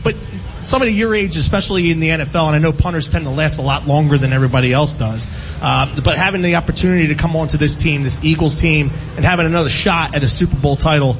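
Fast-talking man, slightly high voice. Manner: chatty, rambling questions. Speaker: sports radio show host. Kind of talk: casual sports interview. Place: live show at a casino. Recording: muffled lo-fi broadcast with background noise.

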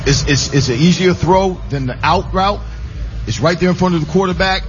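A man's voice: sits high, fairly quick. Man breaking down football plays. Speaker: football analyst. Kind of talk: casual sports radio chat. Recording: dull lo-fi broadcast with faint hiss.

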